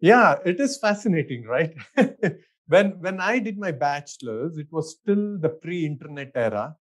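A man's voice: on the high side, sing-song, measured pace. A middle-aged man with an Indian accent, an architect answering interview questions in an easygoing way. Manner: easygoing, thinks aloud with fillers and restarts, laughs often. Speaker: architect, guest.

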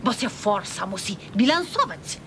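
An actress playing an opinionated, talkative older housewife in a radio drama, lecturing folksily with an eastern Polish Podlasie accent. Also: female voice, sing-song, normal speed, fairly bright tone. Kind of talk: radio drama acting in rural dialect. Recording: rumbly, noisy radio recording.

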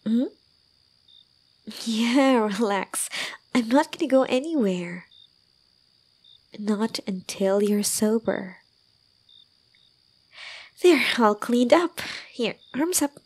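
ASMR roleplay: gentle young girlfriend caretaker, played by a woman. Slow, breathy, soft, soothing, a little teasing. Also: on the high side, expressive. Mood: caring, coaxing. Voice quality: soft, breathy, often slipping into whispers. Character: gentle, doting young woman caring for a drunk lover. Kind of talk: ASMR roleplay, intimate one-sided dialogue.

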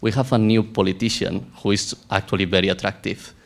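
A man's voice: on the low side, fairly even intonation, brisk, creaky. A man from Spain with a Spanish accent, asking a question from the audience of a lecture theatre in a hesitant, lightly self-amused way. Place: large lecture theatre, indoors.

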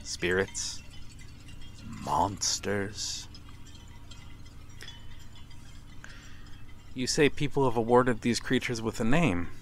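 A man playing a courtly, brooding vampire stranger, menacing and seductive, speaking softly and deliberately in an ASMR roleplay. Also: medium pitch. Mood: sly, ominous. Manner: soft, deliberate, theatrical, with breathy whispers. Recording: steady ambient noise, harbor soundscape.

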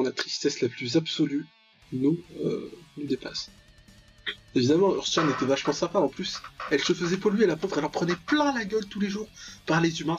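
Raspy guy doing jokey ironic let's play commentary, bit dull lo-fi mic, soft music behind. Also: fairly high pitch. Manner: ironic, reacts aloud and paraphrases on-screen text. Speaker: let's player, channel host. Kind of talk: casual joking gameplay commentary of a visual novel.

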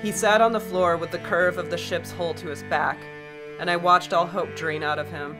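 A woman's voice: on the low side, steady tone, normal speed, music in the background, close to an everyday voice. Woman acting a grave, guarded spaceship pilot. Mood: grave, resigned. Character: weary, guarded spaceship pilot, stoic and haunted. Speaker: narrator and lead actor in an audio drama. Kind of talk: audio drama acting and first-person narration.

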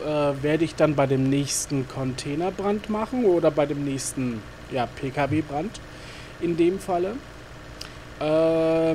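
A man talks his way through a game in a loose, rambling let's-play style. His fairly high voice is full of fillers and asides muttered to himself. A faint noise sits under the recording. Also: darkish tone. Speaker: gaming YouTuber. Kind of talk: casual let's-play commentary.

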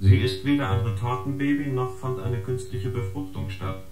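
An actor playing a smug surveillance agent in a radio drama, reporting a dossier with precise, formal, sardonic delivery. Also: male voice, mid-range voice, steady tone, normal speed, natural voice. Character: smug, gossiping surveillance agent or unit, dryly needling. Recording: echoing space, loud guitar music.

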